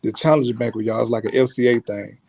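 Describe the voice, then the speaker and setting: A man's voice: medium pitch, steady tone, fast talker, creaky, heavy background noise. A man hosting a podcast in an easygoing way, full of fillers and addressing the audience as y'all. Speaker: podcast host. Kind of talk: casual chat. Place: podcast studio.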